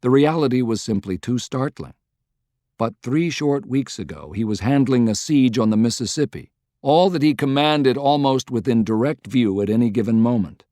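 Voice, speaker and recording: Male narrator for a historical novel, mid-range pitch, close dry recording, scripted read. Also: animated, normal speed, warm tone, no room sound. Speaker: audiobook narrator.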